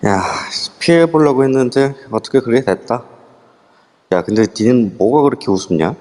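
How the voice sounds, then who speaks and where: A man's voice: mid-range voice, expressive, normal speed, mellow, close to an everyday voice. A man playing a chatty young guy. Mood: casual. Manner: casual and chatty. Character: friendly young man, casual. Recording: reverb-heavy online audio.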